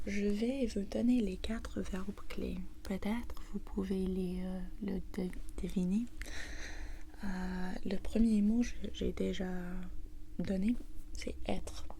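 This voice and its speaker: A woman with an English-speaking accent teaches in a slow ASMR whisper. Her voice is soft and mellow with a crackly, creaky edge, and she explains things gently, one step at a time. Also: medium pitch. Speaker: language teacher in an ASMR video.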